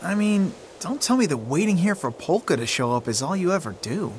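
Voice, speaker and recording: A man's voice: a little high, very animated, a little fast, slight background noise. An actor voicing a brash, skeptical young man in a game cutscene. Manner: blunt, sarcastic. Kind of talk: video game cutscene dubbing.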